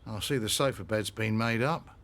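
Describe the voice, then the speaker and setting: A man's voice: lowish voice, moderate pace, creaky, a bit bright. A man playing a proud, stubborn, middle-aged pub landlord and dad in a radio soap. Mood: weary, wary.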